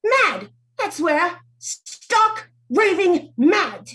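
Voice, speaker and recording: A woman playing a distraught, vengeful woman in a stage play reading, theatrical and drawn-out. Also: very high-pitched, sing-song, exaggerated voice. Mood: grief-stricken.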